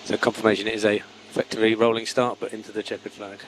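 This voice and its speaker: A man working as a race engineer, giving calm, step-by-step instructions over team radio and repeating key words. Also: on the low side, racing, slight background noise, poor audio.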